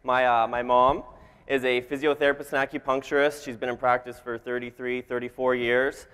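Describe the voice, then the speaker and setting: A man's voice: mid-range voice, flat delivery, fairly quick, fairly bright tone, clear, resonant tone. A man in his twenties with a Canadian accent, giving an informal talk to a live audience as a guest speaker, with frequent ums. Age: 20s. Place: event hall, on stage. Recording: reverberant large hall sound.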